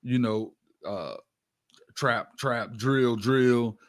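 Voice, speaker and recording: A man's voice: medium pitch, extremely slow, no room sound. A man hosts a radio interview in a loose, conversational way. His talk is slangy and full of little check-in phrases. The sound is dry, with little room on it. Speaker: radio show host. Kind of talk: casual interview chat.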